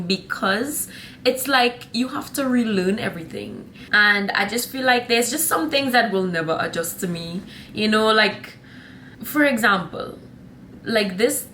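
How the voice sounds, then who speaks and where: A woman's voice: mid-range voice, fairly bright tone, slight background noise. A young woman with a Trinidadian accent chatting to camera in a rambling, sassy vlog style, calling her viewers honey and y'all. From Trinidad and Tobago. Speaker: vlogger. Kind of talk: casual chat to camera.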